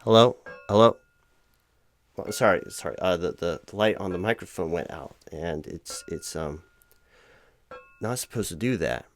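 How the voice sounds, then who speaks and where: A man's voice: low voice, soft background music, natural voice. A man playing a flustered, distracted, eager corporate hiring manager who rambles and stammers in a comedic job interview roleplay. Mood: distracted, apologetic. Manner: halting, stammering, easily sidetracked, apologetic.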